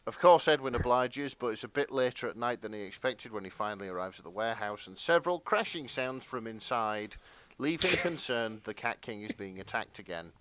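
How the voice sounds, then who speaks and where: Bright, lively-voiced man chatting casually. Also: medium pitch, brisk, few pauses, smooth, dry recording. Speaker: podcast co-host. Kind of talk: casual chat.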